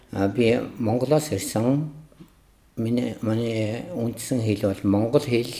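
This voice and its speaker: A man from Mongolia whose first language is Mongolian introduces himself as an integration guide, speaking carefully with pauses. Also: on the low side, warm tone. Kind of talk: personal self-introduction.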